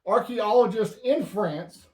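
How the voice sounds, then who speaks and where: Guy hosting a stamp show, kinda high voice, dry deadpan jokes, echoey room. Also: slow. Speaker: host. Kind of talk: casual stamp-collecting chat.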